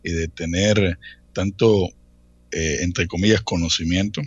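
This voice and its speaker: A man in his late thirties with a Dominican accent, telling his own story casually as an interview guest, often pausing and repeating words. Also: deep voice, steady tone, husky, dry recording, dull audio. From Dominican Republic. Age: late 30s. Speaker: interview guest, church ministry worker.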